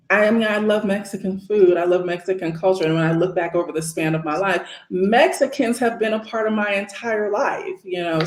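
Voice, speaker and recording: A woman's voice: fairly low pitch, darkish tone, reverberant. A forty-eight-year-old American woman from Northern California, telling her story casually as an interview guest.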